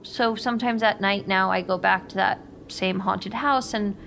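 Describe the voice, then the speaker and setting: An actress playing a nervous young American woman confiding something intimate in a halting, self-conscious, naturalistic audio drama monologue. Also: medium pitch, fairly even intonation, creaky, a bit bright, a little hiss or hum. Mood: intimate, absorbed. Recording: music under the voice. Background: music.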